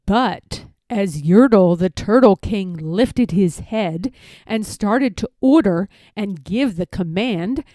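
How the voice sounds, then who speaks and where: A woman narrating a rhyming children's storybook in an expressive read-aloud style. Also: medium pitch, takes it slowly, dry recording.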